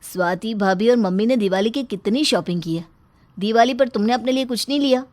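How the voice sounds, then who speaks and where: A woman's voice: fairly high pitch, brisk, darkish tone, no echo, natural voice. Woman playing a devoted young husband. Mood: admiring. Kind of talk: storybook character acting.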